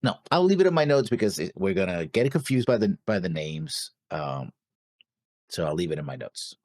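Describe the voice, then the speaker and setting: A man's voice: low-pitched, very animated, normal speed, darkish tone, no room sound, clean studio sound. A man co-hosting a reaction show in casual chat, rambling and thinking aloud as he talks. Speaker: co-host of an anime reaction show.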